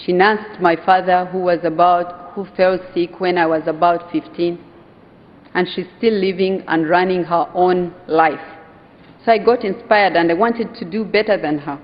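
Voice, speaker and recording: A woman from Uganda with a Ugandan accent giving an earnest, emphatic conference speech, slowing down to stress key phrases. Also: lowish voice, fairly even intonation. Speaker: conference speaker, hotel owners' association vice chair. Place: conference hall. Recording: dull sound in a reverberant hall.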